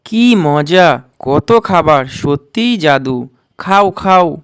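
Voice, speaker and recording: Cartoon role: performer as earnest little village boy, food-loving, unhurried pace, smooth voice. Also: medium pitch, lively intonation, no echo, studio quality. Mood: excited. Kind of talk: cartoon dialogue.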